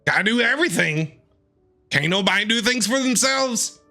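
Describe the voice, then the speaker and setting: A man streaming a game, reacting with quick jokes and animated quips. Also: high-pitched, soft background music. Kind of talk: reaction commentary over a game.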